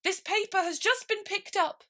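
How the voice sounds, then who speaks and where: Storybook rabbit herald, played by a woman: very high, bright, anxious and eager to please. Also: normal speed.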